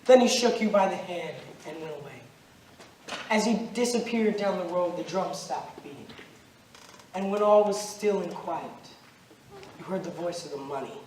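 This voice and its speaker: A man's voice: high-pitched, animated, darkish tone. A young man playing a grave, relentless, centuries-old stranger in an amateur stage play, speaking in a solemn, declamatory way. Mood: solemn, accusing. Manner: solemn, deliberate, declamatory storytelling. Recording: roomy, noisy stage recording from far off.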